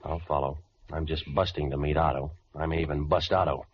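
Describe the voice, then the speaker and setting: A man's voice: extremely low pitch, steady tone, a little fast, slight background noise. An actor in a 1940s radio noir drama playing a cynical, wisecracking tough guy who gives slangy, sardonic orders. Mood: commanding, threatening. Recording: dull lo-fi vintage radio recording.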